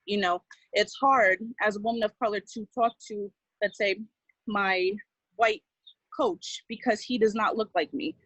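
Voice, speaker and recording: A woman's voice: medium pitch, animated, unhurried, fairly bright tone. A woman student athlete speaking earnestly and pleading at a town hall.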